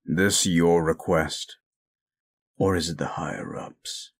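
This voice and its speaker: A man playing a jaded, reclusive werewolf in an audio roleplay drama, gruff, curt and sardonic. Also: deep voice, steady tone, slow, heavily acted. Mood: grudging. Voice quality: gruff, gravelly.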